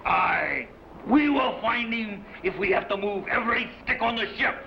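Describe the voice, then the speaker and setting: Grim mutinous sailor, creaky voice. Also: male voice, high-pitched, moderate pace. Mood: urgent. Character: gruff, grim mutinous sailor, obedient henchman. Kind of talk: old-time radio adventure drama acting. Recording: dull, noisy old radio recording.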